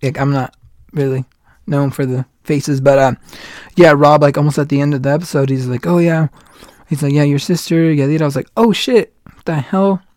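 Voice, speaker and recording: A man's voice: on the high side, steady tone, fairly quick, darkish tone, no echo, clean studio sound. A man in his late twenties hosting a casual podcast chat, rambling along with lots of "like" and retold quotes. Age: 20s. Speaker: podcast host.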